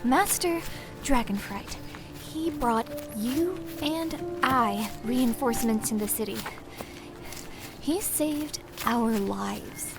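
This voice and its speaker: Guy doing a high voiced lady ninja master, firm n sharp, fantasy story, music behind. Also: lively intonation, slow, full character voice. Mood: insistent. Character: stern, honorable female fantasy ninja master.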